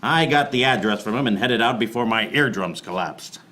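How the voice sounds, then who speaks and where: An actor playing a wisecracking, hard-boiled private eye, narrating a noir parody radio play in a deadpan, wry way. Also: male voice, lowish voice, normal speed. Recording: noticeable background noise.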